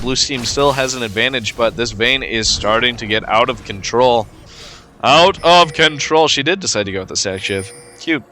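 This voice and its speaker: Man commentating a game, stuffy from a cold, bright tone, easygoing, filler-heavy. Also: mid-range voice, slight background noise. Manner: relaxed play-by-play with frequent fillers. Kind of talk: casual gameplay commentary.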